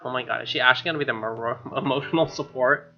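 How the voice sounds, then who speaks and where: A man's voice: mid-range voice, steady tone, very fast, highs cut off. A man with an American accent reading a visual novel aloud as a gaming host, with casual asides. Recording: game music behind.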